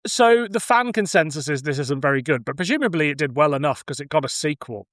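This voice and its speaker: A man's voice: a little high, animated, fast, fairly bright tone, no echo, clean studio sound. A man hosting a casual conversation, giving his opinions on films in an everyday conversational style. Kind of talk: casual film discussion.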